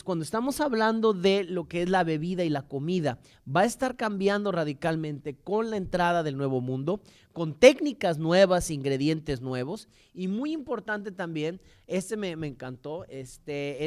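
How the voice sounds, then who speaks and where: A man's voice: fairly high pitch, animated, unhurried, hardly any pauses, darkish tone. A man with a Mexican accent giving an informal history lecture, rambling and often repeating or correcting his words.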